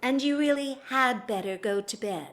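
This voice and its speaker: A woman acting a proper, hesitant aristocratic lady in an audio drama, genteel, formal and gently reproving. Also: on the high side, normal speed. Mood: hesitant, polite.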